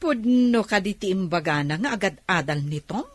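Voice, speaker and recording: A woman's voice: medium pitch, lively intonation, normal speed, lightly acted. A woman playing a spiteful middle-aged aunt in a radio drama, mocking and scornful as she questions.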